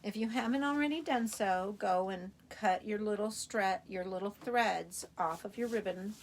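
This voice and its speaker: A woman teaching a live sewing tutorial in a chatty, hands-on way, her voice slightly raspy and tired as she works. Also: medium pitch, normal speed, slight background noise. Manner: chatty, talks while working, restarts often. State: tired, a little hoarse. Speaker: sewing instructor.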